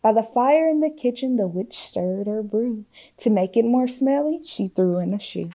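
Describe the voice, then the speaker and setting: A woman reads a children's storybook aloud in a high, very soft-toned voice. Her delivery is expressive and theatrical, shifting between hushed and fuller phrases for effect. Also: moderate pace, low-quality recording. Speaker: storytime reader. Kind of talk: expressive children's picture-book read-aloud.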